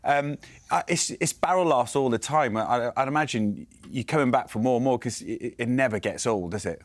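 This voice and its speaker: A man interviewing a guest while he has a cold. He jokes around and often stumbles and restarts his sentences. His voice sits at an ordinary pitch. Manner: jokey, halting, many restarts. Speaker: radio presenter, interviewer. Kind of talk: casual interview chat.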